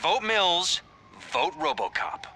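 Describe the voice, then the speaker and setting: An actor playing a smug, ambitious politician in a video game, with a polished, unctuous, speechifying delivery. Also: male voice, sits high, animated, takes it slowly, noisy background. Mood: campaigning. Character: smug, ambitious male politician disdainful of the poor.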